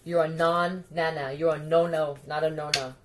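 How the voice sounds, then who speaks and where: A woman's voice: sits low, level tone, moderate pace. A woman talking in a scattered, profane monologue, addressing unseen listeners directly. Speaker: video creator. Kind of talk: rambling monologue to camera.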